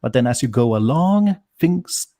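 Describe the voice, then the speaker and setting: A man's voice: mid-range voice, big pitch swings, moderate pace, dark tone. A man, a guest writer on a video call, rambling and thinking aloud with fillers. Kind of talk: casual livestream chat.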